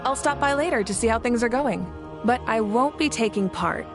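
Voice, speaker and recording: A woman's voice: a little high, lively intonation, moderate pace, natural voice. An actress voicing a confident, easygoing female leader in a video game, assured and informal in her reassurance. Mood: approving, relaxed. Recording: background music under dialogue.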